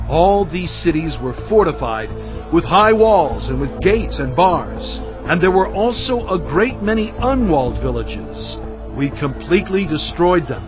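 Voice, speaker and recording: A fairly high-pitched, solemn male leader tells his people's history in measured phrases. It is audio Bible drama, slightly dull in sound, with music underneath.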